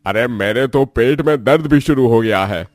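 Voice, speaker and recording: A man's voice: medium pitch, moderate pace, darkish tone, no echo, studio quality, lightly acted. A man playing an earnest elderly father-in-law, head of the family, in a cartoon story. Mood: pained.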